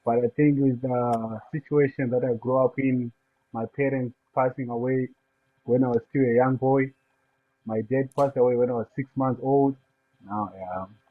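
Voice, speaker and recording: A man from Zimbabwe, a guest on a podcast, telling his life story in an unhurried, soft-spoken way. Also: mid-range voice, steady tone. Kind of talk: casual interview over a call. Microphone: remote call line. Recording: tinny phone-like call line.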